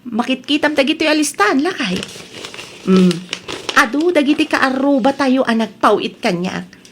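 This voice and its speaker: A woman acting a high-voiced, evasive Ilocano wife. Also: animated, normal speed, slight background noise. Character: village wife and market errand runner, evasive and chatty. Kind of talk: Ilocano audio comedy-drama acting.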